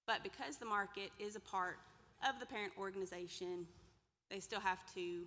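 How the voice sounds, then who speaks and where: Bright-voiced woman lecturing in a hall. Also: medium pitch, moderate pace. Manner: conversational, asks the audience questions. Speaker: extension presenter. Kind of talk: workshop lecture with slides. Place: large lecture room indoors. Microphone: podium mic, far camera. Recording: reverberant hall.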